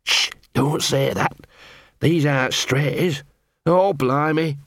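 A man's voice: a little high, slow pace, a bit bright, dry recording, studio quality. A man voicing a small, fearful talking dog, moaning and muttering miserably in an audiobook. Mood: fearful hushed. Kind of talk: audiobook character voice.

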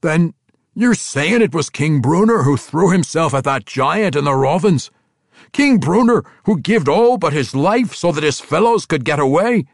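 A man's voice: a little high, lively intonation, unhurried, dry recording, voice pushed up. Guy doing a pushy old dwarf fighter, dry close mic. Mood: probing. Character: old dwarf warrior, eager and questioning.

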